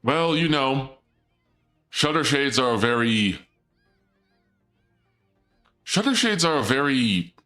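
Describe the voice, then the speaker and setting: A man live-streaming a game, chatting casually with his viewers in a playful dark-lord persona; he is sniffly from a cold and often stumbles over his words. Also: mid-range voice, lively intonation, slow, pauses often, soft background music.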